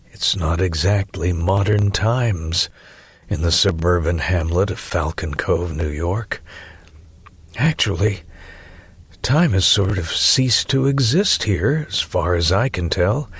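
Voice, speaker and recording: A man's voice: low voice, a bit bright, husky, dry recording, exaggerated voice. A man narrates as an aggrieved teenager in a storybook. The delivery is emphatic and projected, with wry sarcasm. The sound is close and dry. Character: sardonic, aggrieved teenager narrating a strange misfortune. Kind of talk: first-person audiobook narration.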